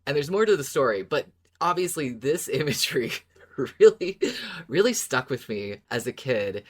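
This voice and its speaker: A woman's voice: extremely low pitch, very animated, moderate pace. A woman co-hosting a podcast, retelling stories casually in a conversational, giggly way. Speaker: podcast co-host.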